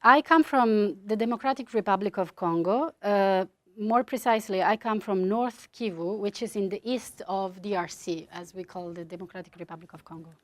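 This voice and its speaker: A woman's voice: mid-range voice, moderate pace. A woman works as an advocate and interprets a speaker into English. Her phrasing is plain and careful, and she apologizes for her English as she goes. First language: Italian. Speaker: human rights advocate interpreting. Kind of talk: consecutive interpretation.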